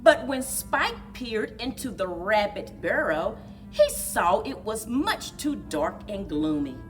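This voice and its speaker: A woman reading a story aloud to young children at a library story time, in an expressive, deliberate manner. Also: medium pitch, far from the mic, faint music behind. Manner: expressive, deliberate, sing-along encouragement.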